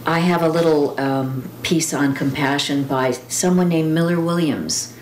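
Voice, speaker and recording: Low-voiced woman reading aloud, roomy echo. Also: a little slow, a little mellow. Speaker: poetry reader. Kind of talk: live read-aloud of poems and quotations with informal commentary. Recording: roomy echo with clear background noise.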